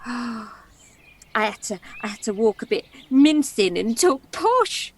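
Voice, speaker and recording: A woman's voice: fairly high pitch, big pitch swings, takes it slowly, slight background noise, exaggerated voice. A woman playing a cheeky, theatrical young damsel in a stage play, telling her tale in a gossipy, confiding way. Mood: gossipy, amused. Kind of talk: stage play storytelling monologue.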